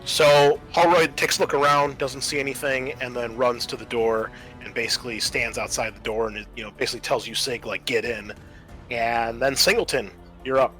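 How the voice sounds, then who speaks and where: A man's voice: mid-range voice, fairly even intonation, normal speed, a bit bright, soft background music. A man narrating and refereeing a tabletop roleplaying game as game master in a conversational, explanatory way.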